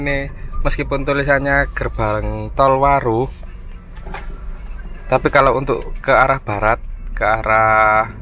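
A man's voice: mid-range voice, bright, forceful tone. A man chattily narrating a road trip from inside his car, guiding viewers along the route. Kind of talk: casual narration while driving.

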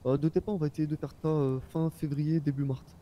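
A man's voice: on the high side, little pitch movement, a little fast, lo-fi sound, slight background noise, lightly acted. A man playing a young, easygoing Japanese-born rap label boss in a game, talking in informal, rambling slang. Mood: enthusiastic. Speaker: role-player. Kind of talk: casual in-character game chat.

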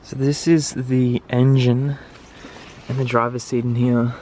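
A man's voice: mid-range voice, level tone, unhurried, mellow, a little hiss or hum. A young man filming himself outdoors while exploring an abandoned building, chatting casually and wondering aloud with plenty of fillers. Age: young adult. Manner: curious, rambling with fillers. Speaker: vlogger. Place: outdoors in overgrown abandoned site.

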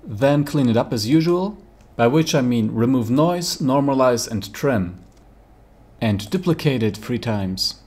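A man's voice: mid-range voice, animated, a little slow, a little mellow. Matter-of-fact man narrating a tutorial. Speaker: tutorial presenter. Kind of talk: scripted instructional narration. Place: voice-over for screen recording.

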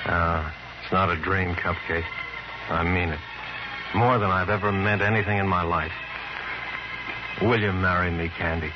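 An actor playing a teasing, tender police captain in love in a 1950s radio drama. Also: male voice, low voice, fairly even intonation, normal speed, long pauses, music in the background, natural voice. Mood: earnest, tender. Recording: muffled old radio recording, faint noise.

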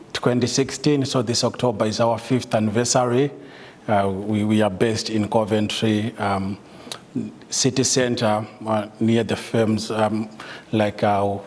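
A man's voice: fairly low pitch, slightly rough, big-room sound. A middle-aged man from Zimbabwe with a Zimbabwean accent, giving a talk to staff with many ums and uhs and some light laughter. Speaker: guest speaker, solicitor. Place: meeting room, indoors.

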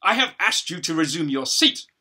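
Guy doing a bossy irate judge in a play, stern, sharp husky voice. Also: fairly high pitch, sing-song, moderate pace, full character voice. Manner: stern, bossy, clipped commands. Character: irate, imperious tribunal judge, male, impatient and officious.

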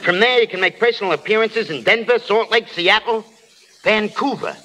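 A man's voice: high-pitched, moderate pace, sharp tone. A man playing a brash, cynical studio publicity man in an old radio drama, pushy and sarcastic. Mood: hyping. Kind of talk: 1930s-40s radio drama acting. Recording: noisy old radio broadcast.

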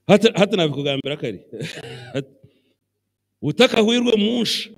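A middle-aged Ugandan man preaching a sermon as bishop on a handheld mic in a large church, animated and mixing English phrases into his talk. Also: high-pitched, moderate pace, slightly rough. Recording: long church hall reverb.

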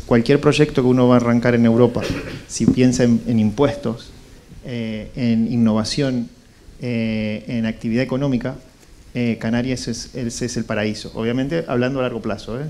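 A middle-aged Argentine man speaks as a founder presenting at a conference. His voice is mid-pitched with a strong gravelly creak, and he feels his way through phrases, repeating words. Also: fairly even intonation, normal speed, dark tone. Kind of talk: conference pitch and answers to questions. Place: indoor conference room with small seated audience. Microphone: handheld microphone.

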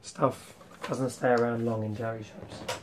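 A young man hosting a casual retro collection vlog, rambling with ums and clearing his throat, his voice slightly worn from losing it. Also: mid-range voice, expressive, measured pace, noisy background. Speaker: retro game collector vlogger. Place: small room full of toy shelves, indoors.

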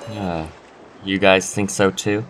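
A man's voice: deep voice, flat delivery, takes it slowly, fairly bright tone, slight background noise. A man voicing a diffident young drifter, mild and hesitant, in a game dub. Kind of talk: visual novel game dub.

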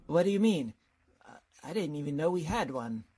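An actor playing a flustered, put-upon college dean with fussy, sardonic delivery in an old-time radio comedy. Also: male voice, a little high, expressive, moderate pace, crowd noise.

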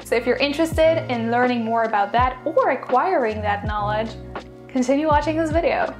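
A young woman from Poland explains languages straight to camera in an upbeat, brisk way. Her voice sits a little high, she is recorded close up in a small bedroom, and music plays clearly underneath. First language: Polish. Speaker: language teacher and YouTuber. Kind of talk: direct-to-camera video lesson.

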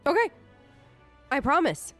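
A woman's voice: sits high, big pitch swings, a little slow, fairly bright tone, soft background music. A woman role-playing her game character in casual, improvised dialogue, chatty, upbeat and informal.

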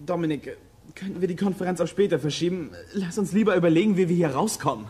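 A performer playing a bold, practical boy detective with a brisk, decisive manner in a children's audio drama. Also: medium pitch, darkish tone, slight background noise, close to an everyday voice.

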